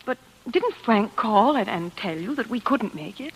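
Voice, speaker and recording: An actress playing an anxious, devoted wife in a 1940s radio suspense drama, fretful and dramatic. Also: female voice, a little high, very animated, normal speed, a little hiss or hum, rough recording. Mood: nervous, covering up. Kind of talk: 1940s radio suspense acting, first-person narration and dialogue. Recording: old radio drama with music behind.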